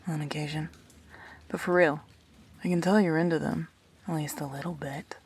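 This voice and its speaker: A woman's voice: fairly even intonation, normal speed, darkish tone, slight background noise. A woman acting a wry, blunt but protective immortal best friend in an audio drama, with a dry delivery. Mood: teasing, encouraging. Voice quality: low, husky.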